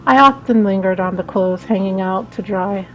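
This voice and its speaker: A woman's voice: mid-range voice, moderate pace, a bit bright, dull audio, soft background music, natural voice. A woman voicing a lonely, wistful female passenger in a visual novel, musing and hesitant. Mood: melancholy. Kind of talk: visual novel read-aloud.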